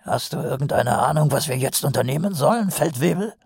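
A man voicing a shifty, cowardly little city watchman in an audiobook, with a raspy, croaky voice and a hesitant, wheedling manner. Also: a little high, lively intonation, a little fast, a little mellow, no echo, clean studio sound, pitched above the performer's own voice, heavily acted. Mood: curious, musing. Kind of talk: audiobook character voice.

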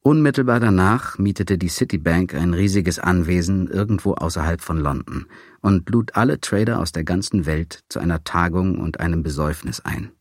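A very low-voiced man narrating a memoir with a dry, sardonic, sometimes crude manner. The recording is close and dry, with no room sound. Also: moderate pace, dry recording.

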